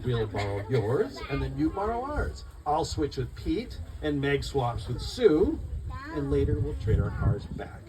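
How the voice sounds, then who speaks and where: Man reading as eager young boy Barry, animated. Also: medium pitch, unhurried. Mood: eager, planning. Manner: urging, organising, sing-song rhyming speech. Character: young boy Barry, eager, bossy little problem-solver. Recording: distant mic, noisy live room.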